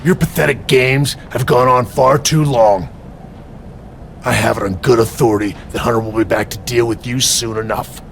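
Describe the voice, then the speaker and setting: A man playing a stern, defiant veteran wrestler, measured and confrontational, in a video game cutscene. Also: medium pitch, steady tone, creaky, slight background noise, natural voice. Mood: stern warning.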